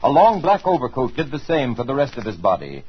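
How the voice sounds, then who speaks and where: A man's voice: mid-range voice, sing-song, a little fast. A man narrating a 1940s radio drama in an ominous, theatrical storytelling style. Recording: dull, rough 1940s radio recording.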